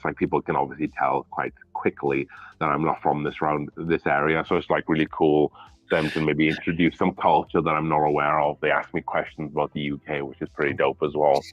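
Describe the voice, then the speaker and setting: Low-voiced Welsh man, chatty. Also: brisk, dry recording, dull audio. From Wales. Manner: relaxed, chatty, slightly mumbly. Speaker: guest whiskey taster. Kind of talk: casual chat.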